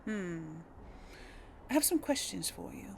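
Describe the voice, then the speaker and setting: Woman game host, unhurried, mid-pitched, casual musing role-play commentary, faint game ambience behind. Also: expressive, creaky, slight background noise. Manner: musing, talks to herself. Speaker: gaming video host playing in character. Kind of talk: casual role-play commentary, reading dialogue choices aloud.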